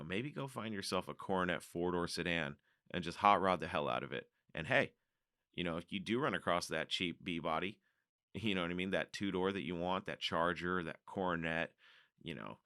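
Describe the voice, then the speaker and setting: A man's voice: deep voice, pushed and bright, clear, resonant tone, dry recording, clean studio sound. A man hosting a car podcast, reading out listener letters and chatting about them in a casual, laughing way. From North America. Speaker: podcast host.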